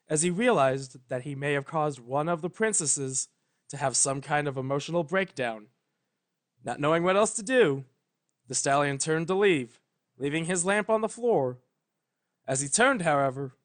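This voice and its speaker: A man with a fairly high voice narrates a piece of fan fiction. He reads from a script in a dramatic storytelling style.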